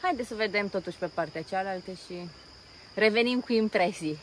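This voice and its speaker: A woman chatting casually as a travel vlogger, recorded outdoors. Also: medium pitch. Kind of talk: casual travel vlog chat. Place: outdoors near a jungle railway bridge. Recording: noisy outdoor recording with insects, traffic.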